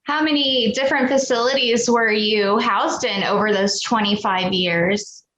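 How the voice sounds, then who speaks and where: A woman's voice: fairly high pitch, measured pace, a bit bright. A woman co-hosting and interviewing in a conversational way. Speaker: co-host, interviewer. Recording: echoey, noisy, dull band-limited sound.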